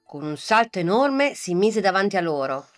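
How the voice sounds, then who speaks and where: A woman reading a children's bedtime fairy tale in a soft, calm, lulling voice. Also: mid-range voice, normal speed, faint music behind. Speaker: storyteller. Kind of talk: scripted bedtime story narration.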